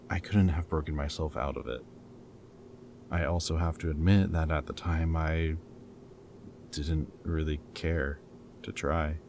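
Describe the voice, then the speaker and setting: With clear background noise, a man acts out a captured rebel scientist with a very low voice. He is caring and weighed down by guilt, talking haltingly and earnestly, as in an intimate dramatic audio roleplay. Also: a little mellow. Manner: hesitant, halting, casual and earnest.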